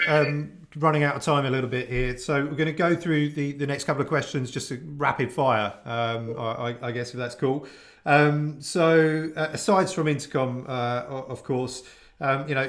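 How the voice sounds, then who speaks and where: A man with an average-pitched voice hosts an interview over a video call. His questions are casual and hesitant. He breaks his phrases often with fillers. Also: a bit bright. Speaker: interviewer, conference organiser.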